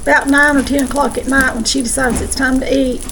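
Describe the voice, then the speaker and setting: Middle-aged woman, Southern American, home cooking host, chatty, high register, noisy kitchen recording. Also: level tone, fairly quick. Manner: folksy, chatty. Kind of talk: casual cooking demonstration chat.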